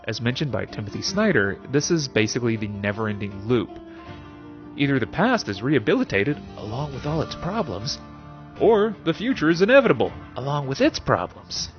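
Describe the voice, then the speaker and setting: A man presents a spoken essay as a show host, his pitch swinging widely as he leans on words for theatrical effect. He is wry and fond of rhetorical questions, dropping casual asides along the way. The sound is a little dull, with music underneath. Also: sing-song, fairly quick.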